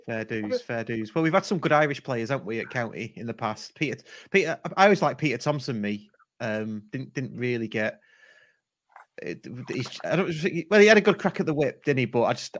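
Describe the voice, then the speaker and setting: Podcast host, chatty and relaxed, medium pitch. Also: male voice, quick pace. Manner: chatty, laughs easily. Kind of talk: casual chat.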